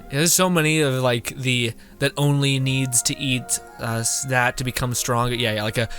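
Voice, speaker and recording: A man's voice: medium pitch, fairly even intonation, moderate pace, a bit bright. A young man doing casual, jokey game commentary and reading text aloud, with game music behind. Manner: giggly, informal, jokey. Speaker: game streamer.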